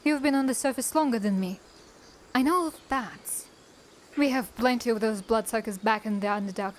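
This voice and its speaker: Woman acting guarded, higher-voiced female drow assassin. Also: moderate pace, a little hiss or hum, close to an everyday voice. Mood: guarded, questioning. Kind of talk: cinematic fantasy voice acting.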